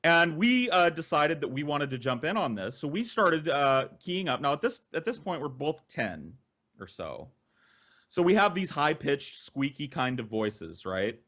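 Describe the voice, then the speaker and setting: A man's voice: fairly high pitch, very animated, measured pace, fairly bright tone. A man telling comic personal stories as a podcast co-host, with theatrical emphasis and casual swearing.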